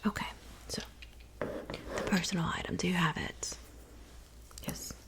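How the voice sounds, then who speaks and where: A woman playing a soft-spoken witch in an ASMR roleplay, whispering breathily and unhurriedly, as if to a client close by. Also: on the low side, fairly even intonation. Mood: gentle, attentive. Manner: unhurried, intimate, addressing the listener as a client. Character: soft-spoken witch mixing love potions in her cabin.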